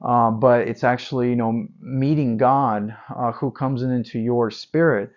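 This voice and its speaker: A man's voice: medium pitch, steady tone, unhurried, highs cut off. A man from Ukraine with a Ukrainian accent, giving an earnest personal faith testimony as an evangelist, repeating words for emphasis with frequent fillers. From Ukraine, Odessa.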